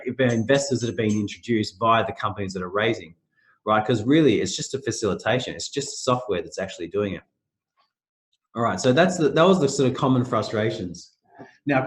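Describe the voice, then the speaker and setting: A middle-aged man speaks with a stuffy, nasal voice, clearly fighting a sore throat. His pitch sits in the ordinary middle range, and he presents a webinar in loose, rambling sentences, often restarting and adding fillers. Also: poor audio. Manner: loose, repetitive phrasing with many restarts and fillers. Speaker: company managing director presenting a webinar.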